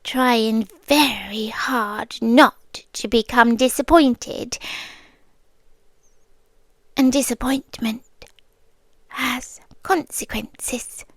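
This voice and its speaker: A woman's voice: a little high, expressive, no room sound. A British woman playing a possessive yandere girlfriend in an intimate ASMR roleplay, speaking with coolly patient, condescending menace and dropping toward whispers. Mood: restrained menace. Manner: slow, deliberate, dropping into whispers, condescending sweetness.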